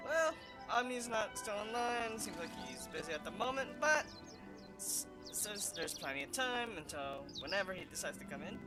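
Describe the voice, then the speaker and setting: High-voiced man doing casual game commentary. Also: lively intonation. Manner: halting, thinking aloud. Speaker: gaming streamer. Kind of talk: casual, unscripted gameplay commentary. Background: game music.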